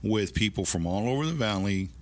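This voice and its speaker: A middle-aged man with a notably deep, low voice talks casually as an interview guest. He sounds like a manager explaining his work, circling back over phrases as he goes. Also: animated, fairly quick. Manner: rambling, repeats phrases.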